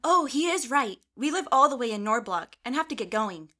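Woman playing a high-voiced, sweet trusting lady. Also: expressive, fairly quick, pushed and bright. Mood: agreeable, entranced. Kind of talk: animated web series dialogue.

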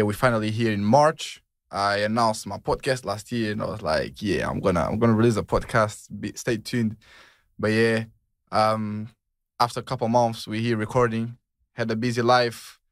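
A man's voice: on the low side, no echo, studio quality. A man in his twenties from Portugal, hosting a podcast in a casual, rambling way with slang and lots of fillers. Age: 20s. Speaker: podcast host. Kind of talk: casual chat.

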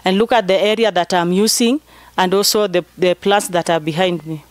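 A woman's voice: lowish voice, fairly even intonation, brisk. A middle-aged woman with a Kenyan accent, explaining her research in an interview to camera. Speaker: PhD researcher and nun. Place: inside a greenhouse.

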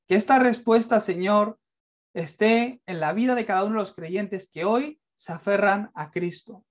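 A young man with a Castilian Spanish accent giving an earnest, fervent sermon and closing prayer, speaking to a camera indoors. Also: high-pitched. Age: young adult. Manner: earnest, fervent, builds to emphatic peaks. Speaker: preacher. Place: indoors, in front of a plain light wall.

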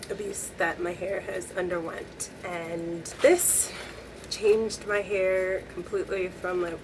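A young woman with a voice hoarse from a cold, chatting upbeat to camera from a small bedroom. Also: lowish voice, background noise. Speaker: beauty vlogger. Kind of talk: casual talk to camera.

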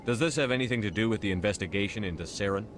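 A man plays a commanding military leader in a sci-fi game. He talks fast and low, with direct, clipped questions. There is clear ambient noise behind him. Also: natural voice. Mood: businesslike. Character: brisk, commanding human military squad leader. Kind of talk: video game character dialogue.

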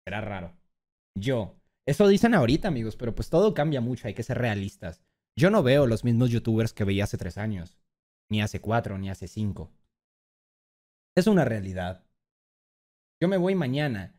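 A man's voice: fairly low pitch, moderate pace, pauses often. A man in his twenties from Colombia, chatting casually and jokingly on a livestream, with slang and swearing. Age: 20s. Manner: joking, expressive. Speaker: streamer reacting to a video about himself. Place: indoor streaming room.

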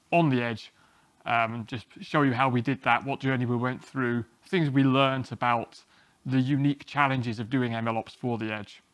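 A man, giving a relaxed, self-deprecating technical talk at a small meetup, often restarting his sentences. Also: medium pitch, no room sound. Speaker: conference speaker. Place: small meeting room, indoors.

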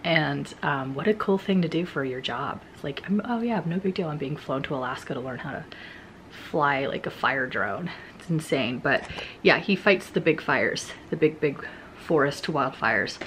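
A woman's voice: deep voice, lively intonation, moderate pace, fairly bright tone, slight background noise. A woman in her thirties chatting casually to a handheld camera in a bedroom, giggly and full of "like" and "um". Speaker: vlogger. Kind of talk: casual vlog chat to camera. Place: bedroom in a house. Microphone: handheld camera at arm's length.

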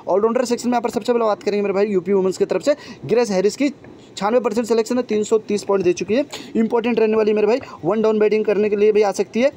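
Rapid-fire high-voiced young man. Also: mellow, slight background noise. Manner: chatty, addresses viewers as friends and brothers. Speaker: fantasy cricket tipster. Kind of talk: informal fantasy sports team preview. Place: voice-over on a phone screen recording.

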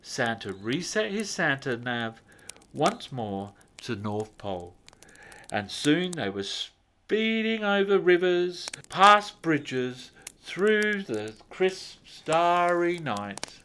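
Slow, expressive male storyteller. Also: a little high. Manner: expressive, measured phrasing with drawn-out words. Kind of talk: scripted children's story narration.

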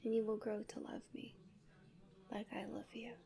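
A woman playing a tender, possessive guardian angel in love with a human, in an intimate ASMR roleplay with soft, soothing, often breathy speech. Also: mid-range voice, level tone, moderate pace, natural voice.

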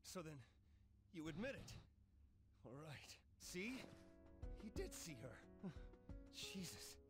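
A man's voice: on the high side, very animated, slow pace, full character voice. An actor playing a desperate young man, agitated and blunt, in a video game cutscene. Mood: urgent. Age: young adult. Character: desperate, frazzled young man demanding the truth. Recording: loud background music. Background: game music.

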